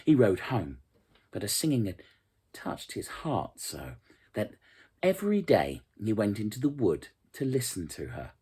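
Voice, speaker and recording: A storyteller reading a fairy tale aloud in an expressive, dramatic and measured way. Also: medium pitch, husky. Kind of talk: scripted storybook narration.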